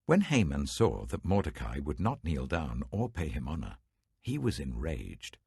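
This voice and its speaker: A man reads scripture with a very low, husky voice that swings widely in pitch. His delivery is measured and dramatic, and the sound is dry and close. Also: wildly swooping pitch, a little mellow, dry recording. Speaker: Bible reader.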